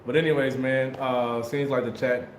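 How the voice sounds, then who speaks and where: A man's voice: mid-range voice, little pitch movement, normal speed. American guy, livestream host, loose slangy chat, echoey room, faint hiss. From United States. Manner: slangy, laid-back, laughs often.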